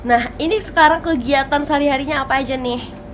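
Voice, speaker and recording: A woman's voice: high-pitched, fast talker. Chatty, teasing woman TV host. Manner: chatty and teasing, laughs often. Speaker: TV talk show host. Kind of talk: casual morning talk show chat. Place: TV studio. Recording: noticeable background noise.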